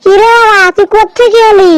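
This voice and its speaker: A woman's voice: very high-pitched, little pitch movement, brisk, dry recording, soft background music. A woman voicing an anxious, caring mother deer in a children's cartoon. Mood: caring, concerned. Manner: fretful, gentle warnings.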